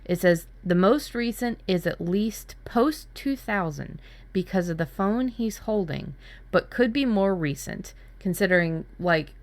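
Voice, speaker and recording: A woman talking casually as a writing workshop co-host, retelling her ideas in an informal, expressive way. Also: lowish voice, a little slow. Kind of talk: casual workshop chat, recounting own brainstorm.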